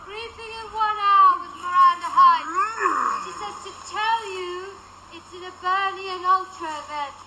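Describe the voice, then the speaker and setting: A woman's voice: extremely high pitch, unhurried, bright, forceful tone, a little hiss or hum, full character voice, low-quality recording. A woman playing a flustered chaos witch in a radio drama. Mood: urgent. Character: apologetic female chaos witch, the ghost's flustered partner.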